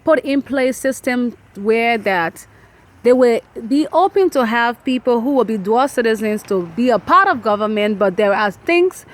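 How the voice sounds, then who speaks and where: A woman's voice: on the high side, animated, moderate pace. A woman with a Liberian accent hosting a discussion interview, speaking earnestly and murmuring agreement often. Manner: talks earnestly, with many affirming murmurs. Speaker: host.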